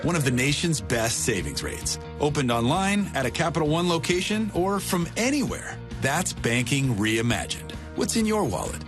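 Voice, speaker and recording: A man voices a scripted radio commercial over a clear music bed. His slightly high voice rises and falls with animated intonation. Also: normal speed. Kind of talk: scripted advertisement read.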